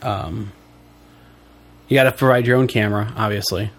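A man's voice: fairly low pitch, fairly even intonation, moderate pace. A man co-hosting a casual podcast, wheezy from allergies, joking and chuckling as he rambles through explanations. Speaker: podcast co-host.

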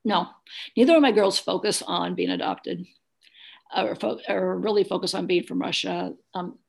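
A woman's voice: mid-range voice, moderate pace, gravelly, husky. A middle-aged woman talking as an interview guest, recounting personal experience in a plain-spoken, matter-of-fact way. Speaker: interview guest, adoptive single mother.

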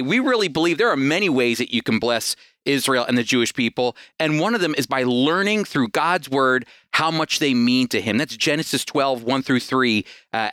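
Earnest, bright-voiced male radio Bible teacher. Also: mid-range voice, no room sound, clean studio sound. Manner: earnest conversational preaching with fillers.

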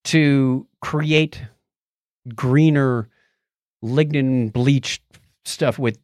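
A man's voice: medium pitch, steady tone, very slow, darkish tone, no echo, clean studio sound. A man hosting a podcast, chatting casually and explaining science news in a conversational way. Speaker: podcast host.

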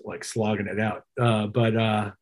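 A man's voice: lowish voice, flat delivery, unhurried. A middle-aged or older man, a musician being interviewed, telling detailed, reflective anecdotes in a casual way and acting out bits of remembered dialogue. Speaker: musician and producer, interview guest.

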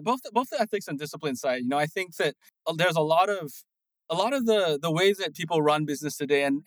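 A man in his thirties from Singapore, a startup founder answering interview questions in a quick, animated way with many restarts. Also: a little high, no room sound. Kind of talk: casual interview talk.

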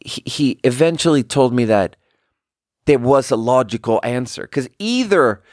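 A man from Sweden, a native Swedish speaker, telling rambling personal stories in a casual, self-deprecating, halting and wry way. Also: mid-range voice, expressive, a little mellow, dry recording, clean studio sound. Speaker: podcast host.

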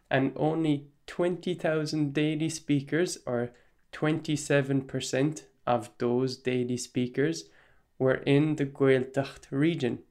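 An Irish man hosting a solo podcast, explaining his views in a measured, even-tempered way. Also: mid-range voice. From Ireland. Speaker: podcast host.

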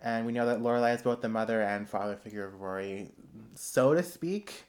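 Young female podcast co-host, very low voice, giggly, hesitant. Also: a little fast, darkish tone. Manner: laughs often, hesitant with fillers and restarts. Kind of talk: casual chat.

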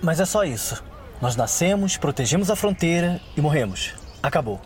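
Um ator interpretando um jovem guerreiro idealista e sonhador num audiodrama de fantasia, com fala melancólica e sincera. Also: male voice, on the high side, lively intonation, normal speed, a little hiss or hum, natural voice. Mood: restless, yearning. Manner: wistful, earnest, theatrical. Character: young idealistic dreamer warrior yearning to see the world. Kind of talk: fantasy audio drama acting. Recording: ambient sound effects behind.

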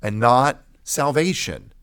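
A man, a pastor, telling his personal testimony in conversation as a guest, emphatic, with deliberate pauses and repeated phrases. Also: mid-range voice, animated, fairly bright tone, clean tone.

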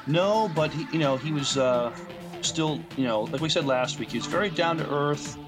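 A man's voice: fairly high pitch, animated, normal speed, over music. A man chatting casually as a podcast co-host. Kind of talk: casual chat.

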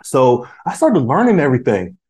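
A man's voice: medium pitch, huge pitch swings, moderate pace, a little mellow. A man in his forties, a podcast guest, chatting casually with lots of restarts, fillers and slang. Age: 40s. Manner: rambling, many restarts and fillers; uses street slang. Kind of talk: casual chat.

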